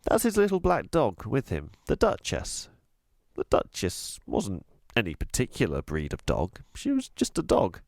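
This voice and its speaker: A man reading a children's storybook aloud as a teacher would, with expressive, deliberate, emphatic delivery. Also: medium pitch, creaky, dry recording, clean studio sound. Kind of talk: scripted storybook narration.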